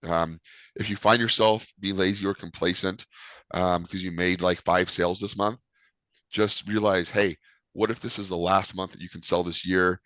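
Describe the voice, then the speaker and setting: A man's voice: low voice, fairly even intonation, normal speed, fairly bright tone, no room sound, lo-fi sound. A man with a cold leading a sales training call, talking loosely with many ums. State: sick with a cold.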